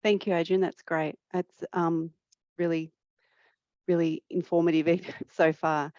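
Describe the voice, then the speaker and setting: A woman with a fairly low voice moderates a panel over a video call, reading her welcome in a measured, deliberate way. The sound is dry and a little dull, like call audio. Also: level tone, a little mellow, no room sound. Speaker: panel facilitator.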